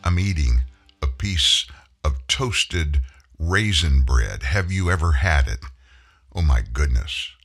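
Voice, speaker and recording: A middle-aged man from Houston hosts his radio show from the studio, spinning chatty, folksy stories. His voice is very deep and husky. It still carries a forceful, bright edge. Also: expressive, slow, soft background music. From Houston, Texas. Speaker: radio talk show host. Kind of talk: casual monologue. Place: broadcast studio. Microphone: studio microphone.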